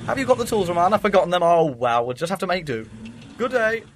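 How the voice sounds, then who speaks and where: A man's voice: high-pitched, expressive, fast talker, a little hiss or hum. An actor playing an eccentric male time traveller who talks in a jaunty, wry, theatrical way. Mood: breezy. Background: music.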